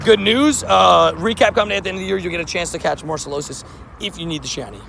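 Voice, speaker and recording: Man, streamer, slightly hoarse, gravelly and fairly high voice, chatty, faint street noise. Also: quick pace, bright, forceful tone. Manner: chatty, reads out and answers chat. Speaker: livestream host. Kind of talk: casual live-stream chat. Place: outdoors by a street with traffic.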